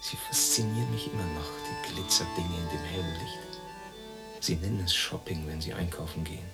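Deep, creaky, brooding weary man. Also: poor audio. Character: weary, yearning East German emigrant man, brooding. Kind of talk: radio drama monologue and dialogue.

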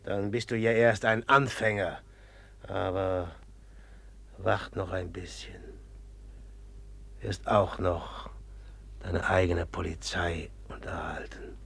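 An actor playing a knowing, mocking man in a German radio drama, drawling condescendingly in a gravelly voice. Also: low voice, steady tone, slow, long pauses, a bit bright, full character voice. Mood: mocking, menacing. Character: knowing, mocking, slow-spoken man taunting a prince.

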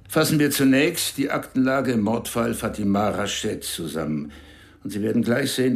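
A man's voice: lowish voice, vocal fry, darkish tone. An actor playing a commanding male intelligence chief in a radio drama, briefing his staff with cool authority.

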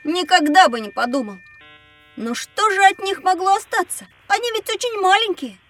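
A woman's voice: very high voice, lively intonation, normal speed, fairly bright tone. A woman voicing a curious, outspoken little boy in a children's educational audio drama, inquisitive and exclamatory.

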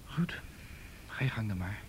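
A man's voice: lowish voice, expressive, measured pace, slight background noise. An actor in a radio drama playing a cautious, protective man, subdued and concerned. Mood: resigned. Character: cautious, protective male comrade in hiding. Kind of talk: naturalistic radio drama acting.

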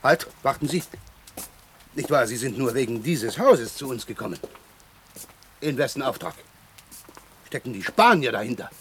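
An actor playing an officious, suspicious government official of a backward island state, stiff and flustered, in a radio play. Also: male voice, medium pitch, animated, moderate pace, long pauses, fairly bright tone, slight background noise, natural voice. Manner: stiffly formal, flustered, hesitant. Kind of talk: German science fiction radio play.

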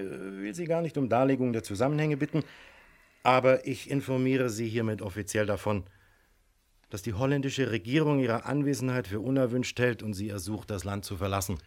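A man playing a courteous but firm, wily police inspector in a radio crime drama, speaking formally and deliberately with polite menace. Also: medium pitch, lightly acted.

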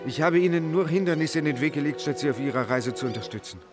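A man's voice: a little high, lively intonation, fast talker, over music, close to an everyday voice. A man playing a distraught, self-blaming loyal manservant in an audio drama. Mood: despairing, guilty.

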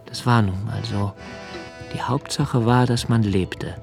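An actor playing an anxious, solitary young writer in a literary radio play monologue, halting and pensive, sometimes sinking to near-whispers. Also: male voice, on the low side, fairly even intonation, creaky, music in the background. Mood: brooding, observant.

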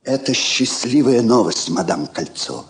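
An old king of dry, self-mocking wit, played by a man in a Soviet drama. He speaks theatrically in an ironic drawl, and his pitch swings widely as he grumbles. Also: very animated, normal speed, natural voice. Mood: gracious, wry. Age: older. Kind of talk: Soviet stage drama acting.

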